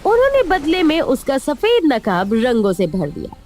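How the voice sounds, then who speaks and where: A woman narrates a cartoon moral tale from a script. Her voice sits on the high side and moves with lots of melodic swing. There is light music in the background. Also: wildly swooping pitch, normal speed, darkish tone. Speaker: storyteller, narrator.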